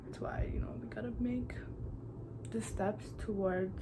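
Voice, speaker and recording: A woman's voice: medium pitch, fairly even intonation, a little slow. Young woman, from Iran, get-ready-with-me chatter, blunt and encouraging, noisy background. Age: 20s. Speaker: beauty vlogger. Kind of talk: casual get-ready-with-me chat.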